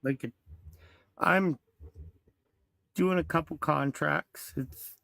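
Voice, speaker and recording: Gravelly laid-back man. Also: fairly high pitch, extremely slow, bright, forceful tone, dry recording, clean studio sound. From Alberta, Canada. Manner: laid-back, slightly gravelly, hesitant fillers. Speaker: guest. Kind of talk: casual livestream banter. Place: outdoors.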